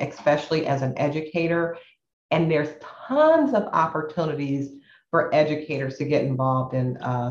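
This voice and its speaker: A woman under the weather, her voice sitting low and deep as she co-hosts a podcast. She talks in a friendly, encouraging way, sprinkling in "you know." The sound is roomy and a little dull. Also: slight background noise. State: under the weather, deeper voice than usual. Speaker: podcast co-host, education professor. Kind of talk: casual podcast chat.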